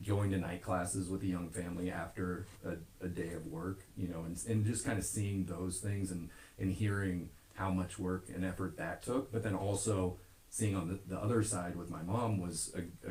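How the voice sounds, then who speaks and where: A very low-voiced American man talks in a casual interview. He speaks earnestly and rambles along, leaning on filler phrases. The room adds a noticeable echo to his voice. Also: darkish tone. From Omaha, Nebraska. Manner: rambling, earnest, frequent "you know". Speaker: podcast guest, gym owner. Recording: roomy, echoing podcast recording.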